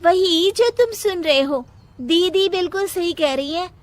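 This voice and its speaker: A woman's voice: very high-pitched, unhurried, no echo, voice pushed up. A woman voicing a loyal young daughter-in-law who speaks with firm, principled defiance. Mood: defiant. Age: young adult.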